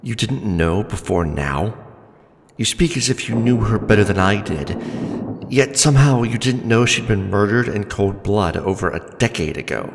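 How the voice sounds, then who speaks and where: A man's voice: fairly low pitch, lively intonation, moderate pace, gravelly. A man voicing a grim, earnest young orphaned avenger in a fantasy ASMR roleplay, speaking solemnly and formally, respectful but challenging. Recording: echoing reverb with background noise.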